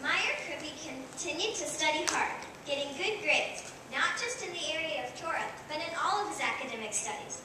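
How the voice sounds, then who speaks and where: A woman's voice: high voice, animated, moderate pace. A female student performer giving scripted narration on stage in a school play, recorded from far off in a noisy, echoey hall. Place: large echoey room, live stage performance.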